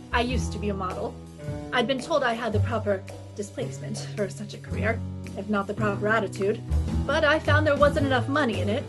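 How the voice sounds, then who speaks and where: A woman's voice: medium pitch, natural voice. An actress playing a wry, breezy, flirtatious female private eye in a 1950s-style radio detective drama.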